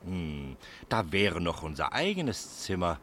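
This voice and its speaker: An actor playing an obliging, busy riverside innkeeper in a German radio play, deferential and homely. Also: male voice, low voice, sing-song, takes it slowly, a bit bright, exaggerated voice.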